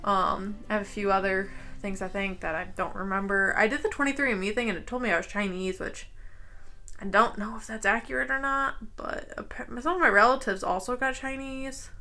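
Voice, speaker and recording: A woman's voice: mid-range voice, steady tone, a little fast, bright, forceful tone, soft background music. A young American woman chatting casually while doing her makeup, rambling with lots of "like" and "um". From United States. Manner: chatty, rambling, heavy use of "like" and "um". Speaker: beauty vlogger. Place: home, indoors.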